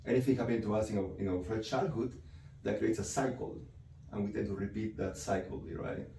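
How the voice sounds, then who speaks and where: Brief: male doctor, deep mellow voice, recorded far off in an echoey room with faint hiss. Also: brisk, vocal fry. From Peru. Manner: explanatory, frequent "right?" and "you know" tags. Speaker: psychiatrist. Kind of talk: interview answers. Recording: echoey room, mic from a distance, faint noise.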